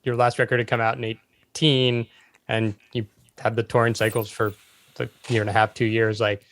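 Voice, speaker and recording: A man's voice: mid-range voice, level tone, normal speed, fairly bright tone, slight background noise. A man hosting a podcast, asking questions in a loose, chummy way with lots of affirmations. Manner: laid-back and chummy, frequent affirmations and laughs. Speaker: podcast host. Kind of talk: casual interview chat.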